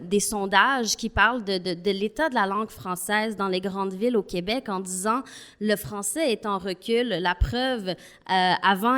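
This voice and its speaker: A woman's voice: mid-range voice, fairly bright tone, clear, resonant tone. Earnest woman. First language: not French. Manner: earnest, thoughtful, argues step by step. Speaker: panel guest. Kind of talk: panel discussion.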